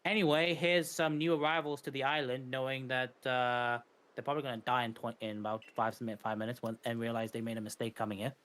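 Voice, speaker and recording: A man's voice: medium pitch, little pitch movement, fast talker, fairly bright tone. Wry man co-commentating a speedrun. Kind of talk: casual live game commentary.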